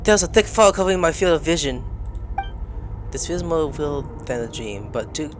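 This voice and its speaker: Low-voiced, animated woman streaming a game. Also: moderate pace, a little hiss or hum. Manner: sweary, expressive. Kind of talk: casual let's play commentary, reading dialogue aloud. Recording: game music behind the voice. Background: video game music.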